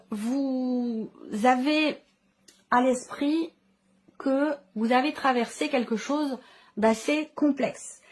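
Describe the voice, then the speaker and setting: A woman giving an improvised tarot reading to viewers, explaining as she goes and often rephrasing as she searches for words. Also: fairly high pitch. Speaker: tarot reader and channel host.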